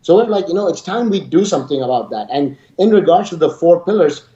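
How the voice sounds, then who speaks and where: A man's voice: on the high side, expressive, quick pace, warm tone. A man in his thirties from Dubai, talking informally and emphatically as an enthusiastic interview guest. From Dubai, UAE. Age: 30s. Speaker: interview guest, gaming company regional director. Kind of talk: enthusiastic conversational interview answers. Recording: muffled, echoey room sound.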